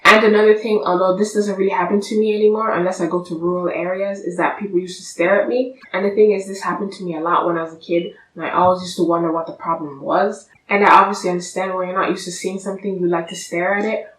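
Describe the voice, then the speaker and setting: A woman's voice: fairly low pitch, steady tone, fast talker, few pauses, fairly bright tone, distant mic, a little hiss or hum. A young woman talking straight to camera in a blunt, sassy, lecturing vlog, seated on a sofa indoors. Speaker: vlogger. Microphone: camera at a distance. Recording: echoey room, voice from off-mic.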